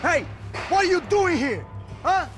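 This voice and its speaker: An actor playing a gang member who aggressively demands answers. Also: male voice, very high-pitched, sing-song, unhurried, full character voice. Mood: menacing. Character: menacing male gang member interrogating. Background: music.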